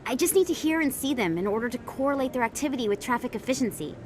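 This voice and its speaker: High-voiced, driven woman reporter. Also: animated, fast talker. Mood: insistent, reassuring. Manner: articulate, persuasive, assured. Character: persuasive, driven female investigative reporter of space opera. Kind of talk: video game dialogue. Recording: faint rumbling background noise.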